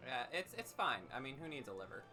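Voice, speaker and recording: A man's voice: medium pitch, sing-song, fast talker, bright, forceful tone. A man co-hosting a livestream with casual comedic banter and a wry, campy way of joking. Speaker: livestream co-host. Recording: background music behind the voice. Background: music.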